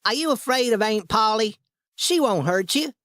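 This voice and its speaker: A man voicing a clever, scheming young boy in a storybook reading, with a high, coaxing boyish voice. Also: expressive, moderate pace, bright, forceful tone, dry recording, studio quality.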